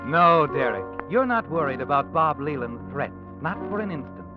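A man's voice: a little high, big pitch swings, normal speed. Ominous old-time radio narrator guy, swooping delivery, music blaring behind, kinda muffled. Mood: knowing, ominous. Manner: dramatic, knowing, insinuating. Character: ominous, insinuating narrator addressing the scheming hero as "you".

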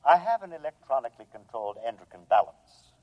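A coldly polite, superior humanoid robot. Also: male voice, medium pitch, very animated, quick pace. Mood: detached, factual. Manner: formal, precise, matter-of-fact speech without contractions. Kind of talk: old-time radio drama acting. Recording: dull, lo-fi old radio recording.

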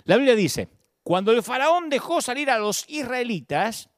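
Man, middle-aged pastor, Buenos Aires accent, preaching, very animated, high voice. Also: normal speed, no room sound, studio quality. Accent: Argentine Spanish, Buenos Aires. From Argentina. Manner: emphatic preaching with sudden pitch swings and repeated words. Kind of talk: sermon.

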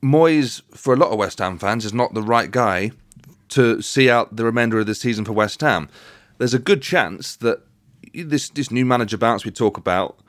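A man's voice: medium pitch, moderate pace, fairly bright tone, no echo, studio quality. A man hosting a podcast, talking football in a casual, conversational way. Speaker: podcast host. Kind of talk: casual sports discussion.

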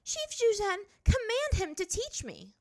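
Woman voicing a haughty, high-pitched young princess. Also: sing-song, moderate pace, bright, forceful tone, full character voice. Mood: angry, determined. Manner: imperious, insistent. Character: haughty, hot-tempered young princess, a firebender girl. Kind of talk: dramatised story reading.

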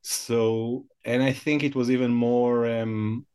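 A man's voice: mid-range voice, level tone, slow, darkish tone, clear, resonant tone. A man, Hebrew speaker, giving reflective interview answers as a guest scholar. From Israel. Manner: reflective, searching for words.

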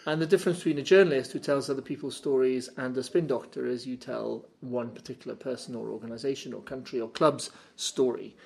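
A man's voice: medium pitch, steady tone, fast, creaky, hardly any pauses, husky. A middle-aged Welsh man, a native Welsh speaker, giving articulate, reflective interview answers with a wry touch. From Cardiff, Wales. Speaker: guest, journalist and communicator.

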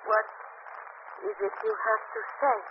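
A woman's voice: very high-pitched, sing-song, a little slow, gravelly, heavily acted. An actress playing a frightened, grieving mother hiding a secret, speaking in a fearful, pleading way, in 1940s radio acting. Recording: noisy, muffled old radio recording.

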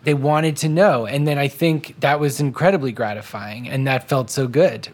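An American man, a writer being interviewed, recalling events in a halting, self-deprecating, casual way. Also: mid-range voice. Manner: halting, self-deprecating, acts out remembered remarks.

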